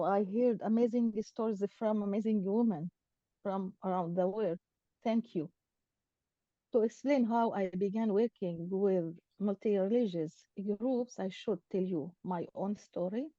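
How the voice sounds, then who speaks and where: A woman from Israel, a native Arabic speaker, giving a measured prepared talk as guest speaker over a video call. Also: medium pitch, warm tone, no echo, highs cut off. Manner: measured, reading from notes, with small grammar slips.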